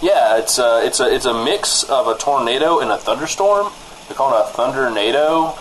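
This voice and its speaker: A man's voice: mid-range voice, lively intonation, fairly quick, natural voice, rough recording. A man playing an exasperated, rambling small-town radio news host in a comedy show. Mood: bemused, chatty. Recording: clear background noise.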